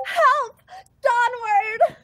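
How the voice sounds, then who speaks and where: Woman as an overwrought high-pitched teenage girl duck. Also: drawn-out words, sharp tone, heavily acted. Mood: frightened. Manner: overwrought, melodramatic.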